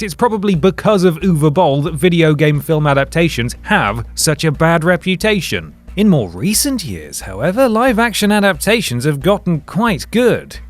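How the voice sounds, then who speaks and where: A man with a fairly high, very expressive voice narrates a scripted video essay. His delivery is wry and emphatic, with music playing softly underneath. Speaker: video presenter. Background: background music.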